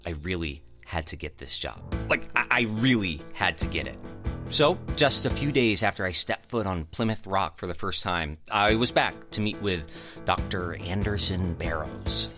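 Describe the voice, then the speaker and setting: An actor playing an eager, self-assured young aspiring theater director in an audio drama, earnest and conversational. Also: male voice, low voice, animated, moderate pace, over music. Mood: confiding, eager. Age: young adult. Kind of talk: audio drama acting with confiding narration.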